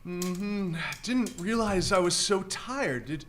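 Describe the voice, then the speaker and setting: An actor playing an easygoing, reassuring vacationing husband in a comic radio play, mild and a little hesitant. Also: male voice, high voice, lively intonation, takes it slowly, fairly bright tone, soft background music, full character voice. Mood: affable. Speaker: actor in live radio drama. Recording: noisy live theater stage recording.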